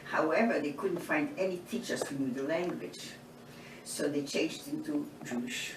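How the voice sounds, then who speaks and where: A woman, from eastern Poland, giving oral history testimony in an unhurried storytelling way, often restarting her phrases. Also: deep voice. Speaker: Holocaust survivor interviewee. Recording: roomy, distant mic, background noise.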